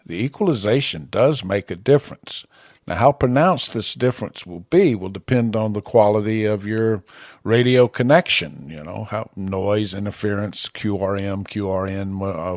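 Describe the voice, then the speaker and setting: A man with a fairly low voice presents a tutorial in a plain, measured way. He speaks in short, clear statements close to a podcast microphone. The sound is quite dry, with little room around it. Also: a little mellow, no room sound. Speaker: presenter of an amateur radio tutorial. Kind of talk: scripted tutorial narration. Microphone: close broadcast podcast microphone.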